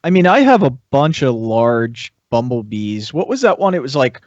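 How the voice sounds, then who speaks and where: A man's voice: medium pitch, big pitch swings, moderate pace, a little mellow, no room sound. A man chatting casually as a podcast panelist, loosely phrased and tentative, on a dry, slightly dull recording. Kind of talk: casual hobbyist chat.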